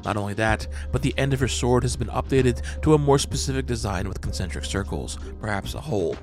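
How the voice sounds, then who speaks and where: A man giving a measured, analytical scripted narration as host of a manga breakdown channel. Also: medium pitch, lively intonation. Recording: voice-over with music underneath. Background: background music.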